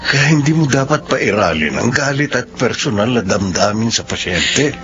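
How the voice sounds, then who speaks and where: An actor with a Filipino accent playing a steady, serious young fiancé who reasons patiently in a radio drama. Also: male voice, mid-range voice, lightly acted. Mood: serious, reasoning. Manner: measured, earnest. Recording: muffled radio broadcast with music.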